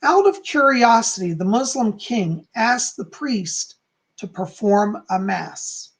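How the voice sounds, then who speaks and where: A calm, soft-spoken man who is hoarse and losing his voice, teaching on a livestream and reading aloud from a book. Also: high voice, slow. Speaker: psychic teaching about folk magic.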